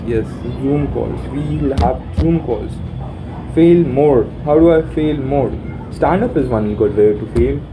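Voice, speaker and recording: A young man with a deep voice rambling through his plans aloud, halting and swearing casually, recorded on his phone while sitting in a room corner. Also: background noise. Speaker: vlogger. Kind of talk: rambling self-talk planning.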